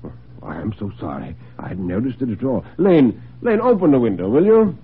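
Man playing an urbane, animated gentleman host. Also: sits low, a little fast, husky, pitched below the performer's own voice, full character voice. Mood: courteous. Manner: courteous, chatty, solicitous. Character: genial, urbane gentleman host, sly and commanding. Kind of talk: dramatic radio storytelling. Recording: tinny, noisy old radio recording.

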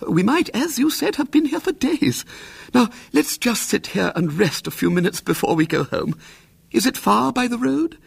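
A fluttery, fretful old aunt. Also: male voice, very animated, normal speed, vocal fry, breathy edge, dry recording, full character voice. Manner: breathless, fluttery, high falsetto. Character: fussy anxious elderly aunt, prim and fretful.

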